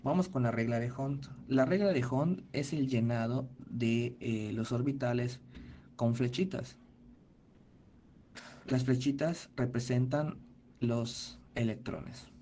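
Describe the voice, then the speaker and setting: A man's voice: medium pitch, slow, mellow, slight background noise. A male teacher giving an online class, explaining methodically step by step and checking in with his students.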